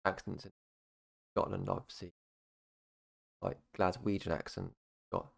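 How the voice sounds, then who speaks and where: A man's voice: deep voice, measured pace, vocal fry. A young British man, talking casually to camera and rambling through facts, with many fillers and restarts. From United Kingdom. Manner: rambling, full of fillers like "obviously" and "basically". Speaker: YouTuber. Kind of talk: casual explainer monologue.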